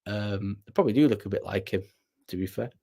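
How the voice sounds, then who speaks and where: A man's voice: on the low side, quick pace, creaky, dark tone. A young man hosting a casual livestream, rambling and self-deprecating as he answers viewers with plenty of ums and restarts. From Wales. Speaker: livestream host.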